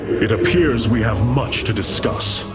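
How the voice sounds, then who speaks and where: A man's voice: on the low side, measured pace, close to an everyday voice. An actor playing a resolute male alien leader in a game cutscene, formal, commanding and earnest. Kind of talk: video game cutscene acting. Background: loud music.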